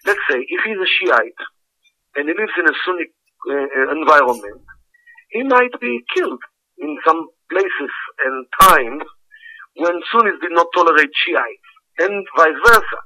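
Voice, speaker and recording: An older man from Israel, a scholar answering interview questions in a halting way with many fillers, heard over a phone line. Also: fairly high pitch, expressive, bright, forceful tone. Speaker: interview guest, scholar of Arabic.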